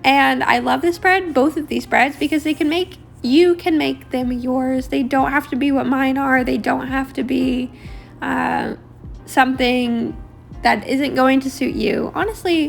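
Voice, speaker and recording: A young woman with a high voice that turns gravelly and raspy, rambling casually through a journal walk-through with many ums. Clear music plays behind her. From Australia. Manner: rambling, chatty, many fillers. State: raspy, harsh throat. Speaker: bullet journal vlogger. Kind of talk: casual voice-over while drawing.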